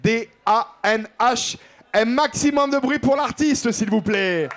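A man's voice: very high voice, slow, a bit bright. A man hosting a live music show, interviewing casually on stage in a chummy, teasing, informal way.

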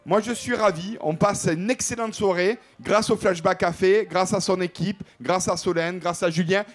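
High-voiced man hosting a live music show. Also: fairly even intonation, normal speed. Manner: chummy and teasing, informal. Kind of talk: casual on-stage interview.

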